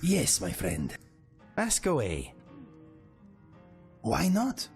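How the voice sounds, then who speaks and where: Friendly game cat companion, super swoopy pitch, formal wording, unhurried, music behind. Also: male voice, wildly swooping pitch, vocal fry, heavily acted. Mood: calm, friendly. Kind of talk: video game character dialogue.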